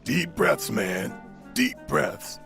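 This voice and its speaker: A man voicing a gruff, impatient but big-hearted rebel fighter in a video game, with a gravelly voice. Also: medium pitch, big pitch swings, extremely slow, over music, heavily acted. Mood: soothing.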